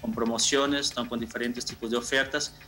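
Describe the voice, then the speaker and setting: A man in his thirties answering interview questions informally, a little haltingly and with repeated phrases, from a room over a video call. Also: mid-range voice, level tone, pushed and bright, faint music behind. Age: 30s. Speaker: marketing manager, interview guest.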